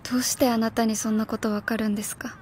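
A woman's voice: fairly high pitch, flat delivery, fast talker, a little mellow, slight background noise, natural voice. A woman acting in a Japanese radio drama as a stiff, earnest young schoolteacher, proud yet vulnerable, speaking formally and politely. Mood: downcast.

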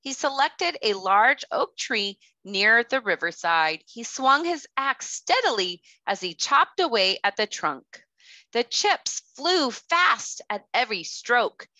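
A woman in her thirties, a kindergarten teacher reading a children's storybook aloud with careful, expressive delivery. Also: fairly high pitch, takes it slowly, dry recording. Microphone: headset.

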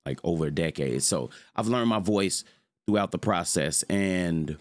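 A young man with a deep voice giving a loose, rambling, slangy tutorial on his streaming setup from his home room. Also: unhurried, no echo. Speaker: streamer showing mic settings. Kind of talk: casual screen-recorded tutorial.

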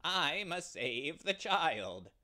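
A man's voice: on the high side, animated, fairly bright tone, pitched above the performer's own voice. A man voicing a brave, determined male ram who speaks earnestly and urgently. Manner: earnest, urgent, slow and emphatic.

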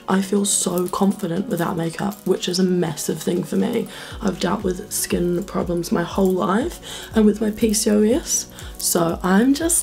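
A young woman in her twenties with a New Zealand accent, chatting casually to camera in a vlog, her voice croaky from a cold. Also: mid-range voice, a little mellow. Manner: chatty, upbeat, lots of "like" and "um". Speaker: vlogger. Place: small bedroom and kitchen indoors.

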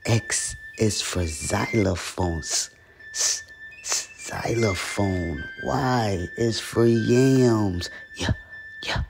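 A man's voice: lowish voice, very slow, vocal fry, over music. A man hosting a children's read-along, reading aloud in a simple, instructive way.

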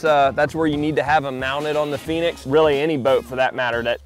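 A man walking through his fishing boat outdoors, talking in a relaxed, chatty way with many fillers and restarts. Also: medium pitch. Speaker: pro angler giving a boat tour. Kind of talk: casual walkthrough vlog.

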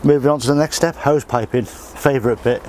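A man's voice: medium pitch, brisk. Middle-aged man, plain practical delivery, outdoor allotment, faint background hiss. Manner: plain, practical, talks while working. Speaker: allotment gardener. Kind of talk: casual how-to demonstration.

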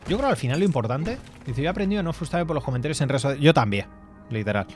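A man's voice: fairly high pitch, lively intonation, rapid-fire, darkish tone. Chatty Spanish man streaming a game. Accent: Spanish from Spain. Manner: chatty, rambling, swears casually. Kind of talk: casual gameplay commentary. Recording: faint game music behind.

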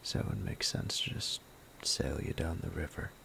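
A man voicing a sadistic male bandit captor in an ASMR roleplay, low and gravelly, often whispering in a menacing, playful way. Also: moderate pace, a bit bright, slight background noise, natural voice. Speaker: voice actor.

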